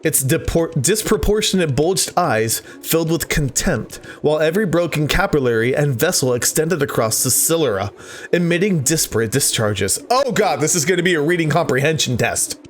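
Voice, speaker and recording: A man's voice: a little high, animated, moderate pace, slight background noise. A man doing a let's play, dramatically reading visual novel text aloud and breaking off for joking asides. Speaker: gaming video host.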